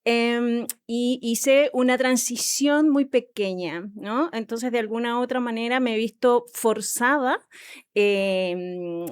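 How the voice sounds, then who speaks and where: A woman's voice: fairly high pitch, takes it slowly, clear, resonant tone, no room sound. A woman with a Chilean accent giving reflective, thoughtful answers as an interview guest, explaining and checking for agreement. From small rural town in southern Chile. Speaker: interview guest, psychologist and sexologist.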